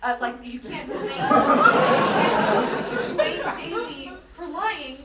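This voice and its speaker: Actress as a high-pitched flustered, earnest woman, noisy room. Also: huge pitch swings, slow, pushed and bright, some chatter behind, heavily acted. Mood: confused, apologetic. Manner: hesitant, stammering, questioning. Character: earnest, flustered, apologetic woman trying to understand. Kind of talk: staged reading of a play before an audience. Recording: roomy, distant stage recording, clear noise.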